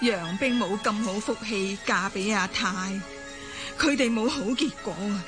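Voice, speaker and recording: A woman's voice: medium pitch, unhurried, music in the background, lightly acted. Woman playing a bitter, proud old Cantonese grandmother. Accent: Hong Kong Cantonese. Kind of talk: radio drama acting.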